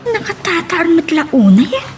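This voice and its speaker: A woman's voice: extremely high pitch, very animated, measured pace, heavily acted. A woman playing a teasing young confidante in an Ilocano audio drama.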